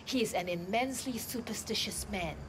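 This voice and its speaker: Measured, cold female crime boss played by an actress. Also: mid-range voice, noisy background, natural voice. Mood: quietly menacing. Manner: measured, deliberate, menacing. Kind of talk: dramatic video game cutscene acting.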